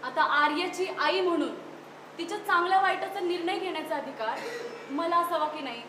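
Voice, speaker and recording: A woman acts out a young mother in a stage drama, practical and insistent. Her voice is high and bright, projected with emphasis in an echoing hall. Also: brisk. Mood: reasoning, firm. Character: young mother and sister-in-law arguing practically. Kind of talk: stage play dialogue in Marathi. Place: indoor stage at a youth festival. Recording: roomy hall, distant stage pickup, faint noise.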